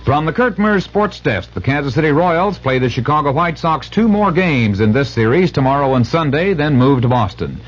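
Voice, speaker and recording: A man's voice: a little high, animated, normal speed, few pauses. An American man reading a scripted 1970s radio sports and weather report. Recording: muffled vintage radio.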